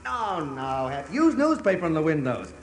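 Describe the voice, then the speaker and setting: A man's voice: a little high, very animated, normal speed. An actor in a radio play voicing a boastful, cheerful American salesman father who speaks emphatically and repeats himself. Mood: jovial, encouraging.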